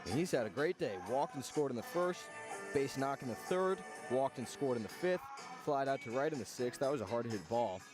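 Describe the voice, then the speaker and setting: Male sportscaster for live baseball radio, mid-range voice, clear music bed underneath. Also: medium pitch, lively intonation, a little fast. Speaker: radio play-by-play announcer. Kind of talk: live baseball play-by-play commentary. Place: outdoors at a baseball park.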